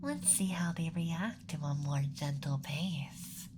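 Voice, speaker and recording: A man playing a playful, eager young alien assistant in an ASMR roleplay, whispering in a gentle, coaxing way close to the microphone. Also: a little high, expressive, slow pace, heavy background noise. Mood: delighted, gentle.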